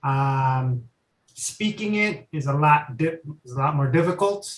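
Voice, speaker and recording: A man's voice: on the high side, unhurried. Man with an echoey, roomy sound. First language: Tagalog. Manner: relaxed, thoughtful, hesitant with fillers. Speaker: podcast guest. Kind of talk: casual interview chat.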